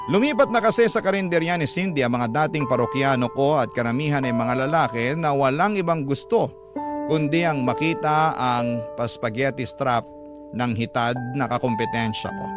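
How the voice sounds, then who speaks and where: A Filipino man hosting a radio story program, reading a first-person letter as expressive, aggrieved dramatic narration. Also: mid-range voice.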